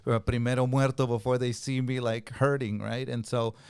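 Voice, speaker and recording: A young man from Peru, a native Spanish speaker, telling his story in a relaxed, conversational way as a podcast guest. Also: medium pitch, normal speed, clean tone, no room sound, studio quality. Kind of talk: casual interview storytelling.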